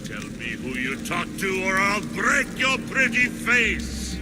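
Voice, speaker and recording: A man's voice: high-pitched, sing-song, slow, very noisy, full character voice. A man voicing a violent, bullying cult enforcer in a video game cutscene. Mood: threatening. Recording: loud music over the voice.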